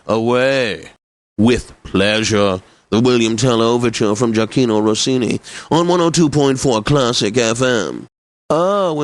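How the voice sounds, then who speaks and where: A man's voice: mid-range voice, dry recording, lightly acted. Man playing a pompous classical radio DJ, close and dry. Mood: theatrically excited. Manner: pompous, flamboyant, precise announcing. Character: pompous, whimsical male classical music radio host. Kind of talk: theatrical radio announcing.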